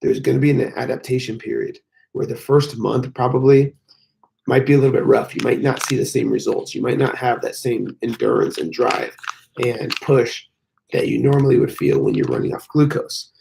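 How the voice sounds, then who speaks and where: Male fitness coach, hoarse and gravelly, casual rambling live-stream chat, mid-pitched voice. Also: a little mellow, slight background noise. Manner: rambling, frequent fillers. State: hoarse, losing his voice.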